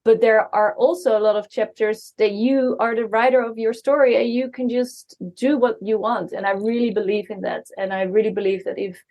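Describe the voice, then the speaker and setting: A woman's voice: fairly high pitch, steady tone, normal speed, hardly any pauses, dull audio. A woman in her thirties from the Netherlands, a guest chatting casually with lots of ums and restarts. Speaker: guest, marketing strategist. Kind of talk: casual conversation. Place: co-working space.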